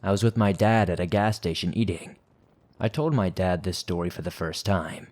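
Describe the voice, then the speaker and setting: A man with a fairly low voice narrates scary listener stories for his podcast. He reads in a steady, measured way, keeping the telling even and unhurried. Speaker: horror podcast host. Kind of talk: scripted horror story narration.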